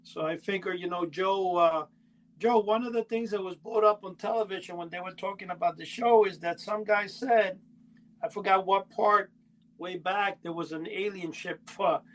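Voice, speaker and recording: A male co-host with a notably high-pitched voice talks casually. His storytelling rambles and halts, often starting over mid-thought. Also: fairly even intonation, normal speed, low-quality recording. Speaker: podcast co-host. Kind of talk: casual chat.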